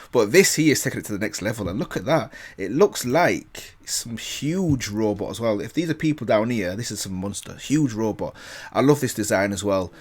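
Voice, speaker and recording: A man giving casual, enthusiastic reaction commentary as a video host, often repeating and rephrasing himself. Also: fairly low pitch.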